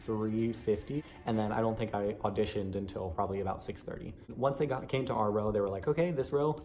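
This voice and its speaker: A man talks quickly in a casual vlog style, recounting his own experience. His voice sits a little on the low side, and his delivery is chatty and conversational, with music playing behind him. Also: warm tone. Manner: chatty, frequent "like" asides. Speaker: vlogger recounting an audition. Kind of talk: casual first-person vlog storytelling.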